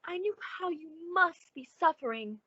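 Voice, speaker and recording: An actress playing a gushing, solicitous, sociable woman with effusive, drawn-out emphasis in an old-time radio suspense drama. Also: very high voice, animated, dry recording, highs cut off.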